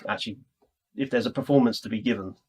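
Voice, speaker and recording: A British man interviewing casually, enthusiastic and chatty, quick to agree with his guest. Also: on the low side, darkish tone, a little hiss or hum. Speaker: interviewer, presenter. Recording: distant ambient room mic.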